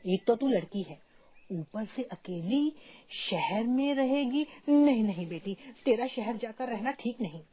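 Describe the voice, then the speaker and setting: A woman's voice: fairly high pitch, lively intonation, unhurried. A woman voicing a poor labourer father in a moral story, humble, protective and hesitant. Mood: worried. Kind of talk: moral story character dialogue. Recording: dull lo-fi audio, music behind.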